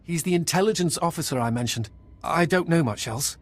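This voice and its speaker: Polite, higher-voiced military lieutenant. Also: male voice, animated, brisk. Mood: grave, matter-of-fact. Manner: polite, formal. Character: deferential, efficient imperial male lieutenant. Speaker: military liaison officer. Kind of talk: video game voice acting.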